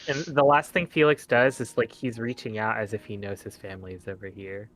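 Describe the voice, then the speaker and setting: A man's voice: lowish voice, fairly even intonation, fairly quick, a bit bright. A man chatting casually as a game player, describing what his character does in a hesitant, informal way.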